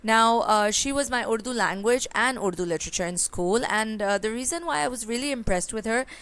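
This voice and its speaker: Woman, smooth voice. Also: on the high side, lively intonation, brisk, fairly bright tone. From Pakistan. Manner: conversational, with frequent fillers and rhetorical "right?". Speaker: IELTS speaking tutor. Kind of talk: exam tips lesson with sample answer.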